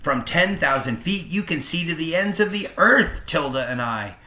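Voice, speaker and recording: A man voicing an excitable young male Civil War balloon pilot, talking in a theatrical, grandly fervent way. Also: a little high, normal speed, reverberant, noisy background, voice pushed up, natural voice. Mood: enthusiastic. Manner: theatrical, wailing, grandly enthusiastic.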